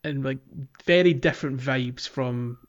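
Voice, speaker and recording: A man's voice: medium pitch, a little slow, dull audio. A man hosting a podcast, talking casually and reflectively about video games, with some hesitation as he goes. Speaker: podcast host. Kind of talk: casual video game discussion.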